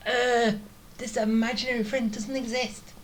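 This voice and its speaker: A woman's voice: fairly high pitch, flat delivery, moderate pace, a little hiss or hum. A woman giving informal, rambling let's-play commentary as she plays a video game. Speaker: gaming streamer. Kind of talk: casual let's-play commentary, reacting to and reading game dialogue. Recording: game music behind the commentary.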